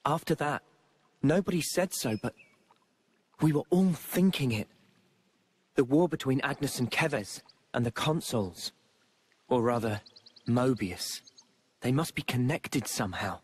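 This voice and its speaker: A man playing a thoughtful, earnest young soldier hero in a video game cutscene, speaking in a measured, reflective way. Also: medium pitch, lively intonation, slightly rough. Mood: earnest, pondering. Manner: measured, reflective, philosophical delivery.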